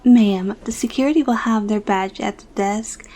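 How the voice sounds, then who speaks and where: A woman's voice: mid-range voice, moderate pace, dark tone, slight background noise, natural voice. A woman playing a deferential, efficient office receptionist in an audio roleplay, answering her boss politely. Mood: polite, dutiful.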